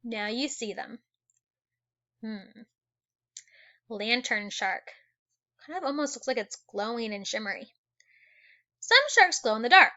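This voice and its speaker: A woman teacher reading an educational children's book aloud in an animated way, adding exclamations and wondering asides for young listeners. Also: on the high side, measured pace, pauses often, lo-fi sound.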